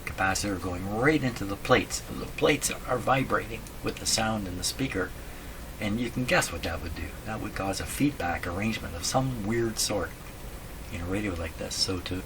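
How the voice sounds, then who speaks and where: A man thinking aloud in a casual, halting way as he explains a repair at his workbench, often putting questions to himself. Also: on the low side. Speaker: radio repair hobbyist, host. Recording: clearly noisy room recording.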